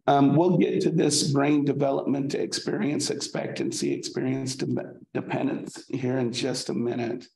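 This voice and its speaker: A man lecturing a college class at a slow, unhurried pace. His voice is heavily gravelly, full of fry, and he stops often to restart his sentences with fillers. The sound bounces around an echoey room. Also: mid-range voice, a little mellow. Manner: halting, many fillers and restarts. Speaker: college instructor.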